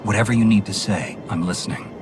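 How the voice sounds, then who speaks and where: Man acting a calm, deep-voiced knight commander. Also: fast, music in the background, lightly acted. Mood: resolute. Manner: courteous, steady. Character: calm, noble male knight commander, reassuring and steady. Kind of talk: video game cutscene acting.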